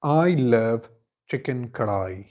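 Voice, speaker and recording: A man, teaching an English lesson and giving clear, deliberate model answers, each repeated in several forms. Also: mid-range voice, animated, a little mellow. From Khyber Pakhtunkhwa, Pakistan. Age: 20s.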